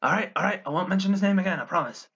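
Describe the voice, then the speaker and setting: A man's voice: mid-range voice, very animated, a little slow, sharp tone, lo-fi sound, heavily acted. A man voicing an irritable, sulky, sardonic demon-summoner in amateur cartoon voice lines, muttering to himself in exasperation. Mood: exasperated.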